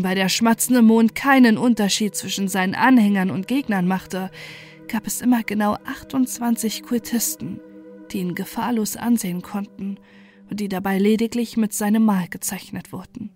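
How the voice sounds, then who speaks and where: A woman's voice: medium pitch, fairly even intonation, moderate pace, darkish tone, faint music behind. A woman reading a scripted first-person horror story as narrator, in a sober and controlled manner.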